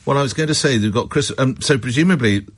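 A man's voice: medium pitch, fast talker, husky, dry recording. A man hosting a radio phone-in, chatting casually and reacting to his guests with sympathy. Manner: responsive, interjecting, sympathetic.

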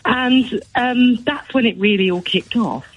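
A woman's voice: on the high side, measured pace, slightly rough, highs cut off. A woman, a showbiz editor, chatting on radio in a gossipy way with many restarts. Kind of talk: casual radio chat.

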